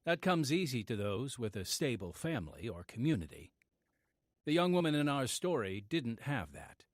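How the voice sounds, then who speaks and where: A man narrating a scripted radio drama as its host, earnest and measured. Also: mid-range voice, big pitch swings, dry recording, studio quality. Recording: faint music behind the voice.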